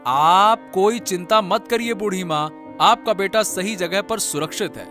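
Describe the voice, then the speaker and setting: A man's voice: sits high, a little slow. A man voicing a confident, reassuring court investigator in a cartoon story. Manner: reassuring, urging. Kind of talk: animated Hindi cartoon story acting. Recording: music under the voice.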